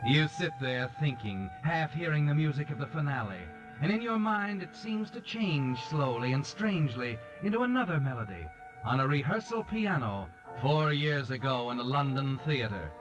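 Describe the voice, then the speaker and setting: Actor as ominous male storyteller, ironic, measured, dull old radio sound, music underneath. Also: mid-range voice, close to an everyday voice. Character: ominous, knowing male mystery narrator, sardonic. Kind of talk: 1940s radio mystery narration. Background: dramatic music.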